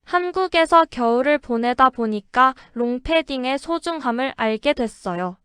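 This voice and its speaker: A woman with a high, bright-pitched voice reads model sentences in an even, deliberate way. Her tone is exceptionally clear and clean, and the sound is dry and close, with no room around it. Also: no room sound. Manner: careful, even, deliberate delivery. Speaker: example sentence reader. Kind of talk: slow, clear model-sentence reading for shadowing.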